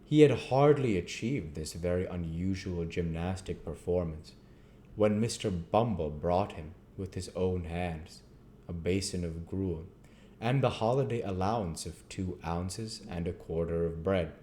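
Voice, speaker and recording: A man reading a classic story aloud as scripted narration, deliberately and phrase by phrase. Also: low-pitched, mellow, a little hiss or hum. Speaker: audiobook reader.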